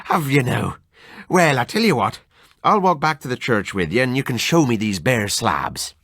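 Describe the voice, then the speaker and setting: A man's voice: expressive, normal speed, fairly bright tone, dry recording, studio quality. A man voicing a big, jovial Irish doctor who laughs off his friend's fears, speaking in an Irish accent. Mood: amused teasing. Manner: jovial, teasing, booming laughter. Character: hearty Irish male doctor, big and sensible.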